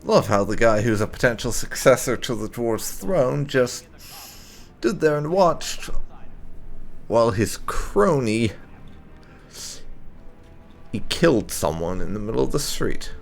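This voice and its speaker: Guy doing sarcastic game commentary, low gravelly voice, takes his time, animated. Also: slow, long pauses, soft background music. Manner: sarcastic, dry. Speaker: gaming video host. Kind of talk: let's play commentary with light roleplay.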